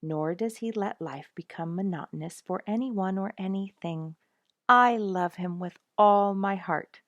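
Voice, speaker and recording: Low-voiced woman reading a storybook expressively. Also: vocal fry, no room sound, studio quality. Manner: dramatic emphasis, swooping into key words. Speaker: host reading a book aloud to listeners.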